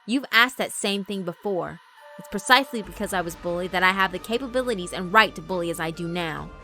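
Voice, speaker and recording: A woman's voice: on the low side, brisk, sharp tone. A woman voicing an arrogant teenage boy bully who calls himself a king, cold and boastful. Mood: smug. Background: background music.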